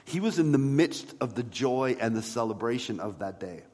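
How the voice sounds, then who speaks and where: A man preaching a sermon as a pastor, in a conversational way with rhetorical questions and emphatic pauses. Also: mid-range voice, animated, darkish tone. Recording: long hall reverb.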